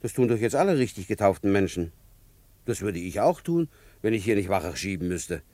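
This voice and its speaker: A man's voice: fairly low pitch, sing-song, fairly quick, dark tone, no echo. A man playing a good-humoured, indulgent policeman in a children's radio play, teasing with mock-solemn irony. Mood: ironic, indulgent.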